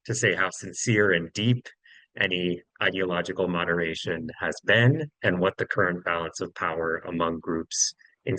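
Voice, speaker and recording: A man panelist closing his remarks on a webinar over a video call, measured and polite. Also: low voice, fairly bright tone, no echo, poor audio. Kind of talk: webinar presentation.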